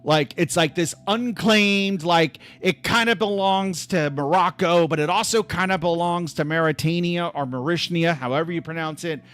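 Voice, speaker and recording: A man's voice: on the high side, normal speed, few pauses, fairly bright tone, clear, resonant tone. A man hosting a casual livestream from home on his laptop, rambling and restarting his sentences with lots of "like.". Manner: rambling, restless, full of restarts and "like". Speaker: livestream host.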